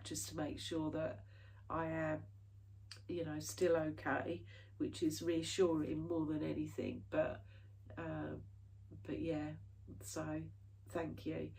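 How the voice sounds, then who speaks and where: A woman's voice: low voice, creaky, a little mellow, recorded from a distance, low-quality recording. A British woman chatting casually to her viewers in a rambling way, full of fillers and restarts, her nose a bit stuffy from hay fever. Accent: British English. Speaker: cross-stitch vlogger. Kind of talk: casual chat to camera.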